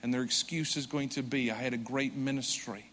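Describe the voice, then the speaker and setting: A man preaching a sermon with earnest conviction, swelling loud for emphasis and dropping soft and slow. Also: medium pitch, fairly even intonation. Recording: long hall reverb.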